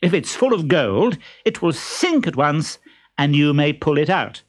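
Man putting on a coy, high-voiced young lady. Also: very animated, measured pace, noisy background. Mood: mock disdain. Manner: coy, loudly theatrical.

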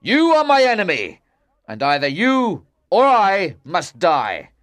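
A man's voice: on the low side, animated, unhurried, no room sound. An actor playing a proud, wronged king in a dramatised storybook, with a solemn declamatory delivery. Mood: wrathful, accusing. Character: grieving, betrayed king, proud and wrathful.

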